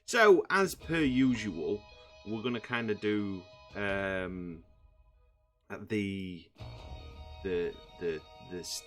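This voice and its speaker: A man, croaky from just waking up, rambling through casual gameplay commentary with many fillers. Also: fairly low pitch, very slow, a bit bright, soft background music. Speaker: gaming channel host.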